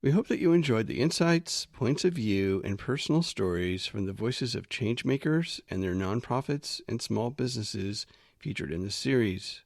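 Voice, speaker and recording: A man's voice: lowish voice, lively intonation, normal speed, creaky, no room sound, clean studio sound. A man hosting a community podcast, speaking as a presenter. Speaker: podcast host. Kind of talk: scripted podcast narration and interview hosting.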